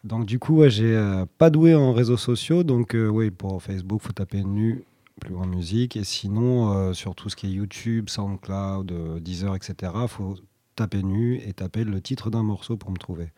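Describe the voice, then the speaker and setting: Low, mellow-voiced French man musician. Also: normal speed, no echo, clean studio sound. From France. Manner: relaxed, rambling with many fillers. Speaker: musician guest. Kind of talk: casual interview answers.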